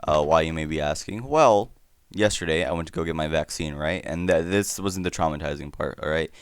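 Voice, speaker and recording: A teenage boy with a very low voice, telling a story while he plays a game. Spanish is his first language. He rambles and mocks himself, stumbling over words and restarting sentences. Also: fairly quick, clear, resonant tone. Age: teens. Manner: rambling, self-deprecating, stammers and restarts often. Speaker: YouTuber.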